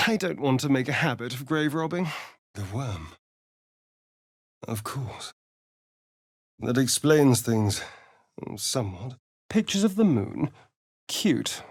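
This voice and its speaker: A man's voice: mid-range voice, dry recording, clean studio sound, exaggerated voice. An actor playing a sly, haughty male vampire rogue in a video game, with an arch, drawling, sardonic delivery. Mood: wry. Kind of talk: video game character voice acting.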